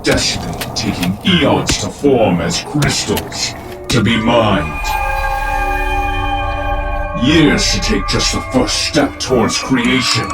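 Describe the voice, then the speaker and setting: Low, slow, grandiose villain acted by a man. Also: animated. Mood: grandiose, brooding. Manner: theatrical, pompous, deliberate. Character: grandiose older male former professor, godlike cosmic villain. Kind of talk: dramatic monologue in audio drama. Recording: roomy, distant echo under loud music.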